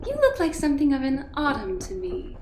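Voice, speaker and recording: High-voiced, breezy, condescending boss lady. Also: huge pitch swings, a little fast, darkish tone, reverberant. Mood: teasing. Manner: airy, patronising, theatrical. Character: breezy, condescending female manager, polished and self-assured. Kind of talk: audio drama acting.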